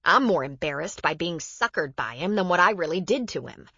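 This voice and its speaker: A woman's voice: lowish voice, animated, brisk, bright, forceful tone, slightly rough, no echo, muffled recording, full character voice. A woman narrator voicing an embarrassed, bitter mother in an audiobook, confessional and self-reproachful. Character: embarrassed, bitter mother confiding about a con man.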